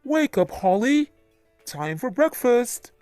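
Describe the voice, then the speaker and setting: A woman's voice: fairly high pitch, very animated, slow pace, a little mellow, dry recording, faint music behind, voice pushed up. A woman voicing a kindly, encouraging father in a children's storybook read-aloud. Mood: calling out.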